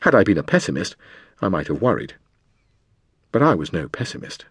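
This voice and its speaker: A man reading a memoir as a scripted audiobook narration, in a measured, deliberate manner with dramatic pauses. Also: low voice, very animated, slightly rough, no room sound, studio quality.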